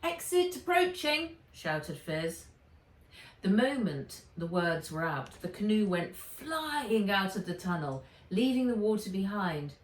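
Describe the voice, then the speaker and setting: A woman reading a children's adventure story aloud with dramatic, expressive narration. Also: fairly low pitch. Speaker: author reading own book aloud. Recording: roomy, distant microphone.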